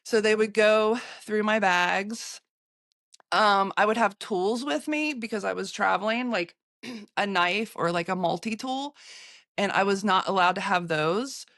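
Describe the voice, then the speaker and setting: Smooth-voiced American woman recalling travels. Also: medium pitch, fairly bright tone, no echo, clean studio sound. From United States. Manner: halting, with many fillers and restarts. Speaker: photographer and interviewee. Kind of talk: recounting travels in an interview.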